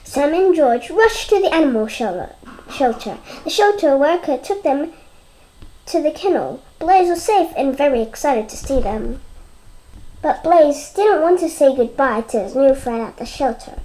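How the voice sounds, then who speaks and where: Little kid doing a read aloud, high voice, really slow, trips on words a bit. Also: lively intonation, dark tone, poor audio. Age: child. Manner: halting, with stumbles and repeated words.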